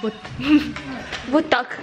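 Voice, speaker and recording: A young woman chatting casually to a handheld camera in a chilly house, bubbly and talkative. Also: echoey room. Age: young adult. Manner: chatty, bubbly, quick shifts into excited high tones. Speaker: vlogger. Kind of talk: casual vlog chat to camera. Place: chilly house living room, indoors. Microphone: handheld camera at arm's length. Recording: noisy home camera audio.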